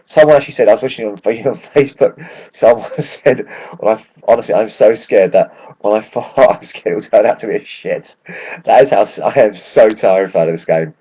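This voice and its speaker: A man, hoarse from shouting at a match, ranting excitedly and swearing freely in a casual football vlog. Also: fairly low pitch, a little fast, dull audio. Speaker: football fan vlogger.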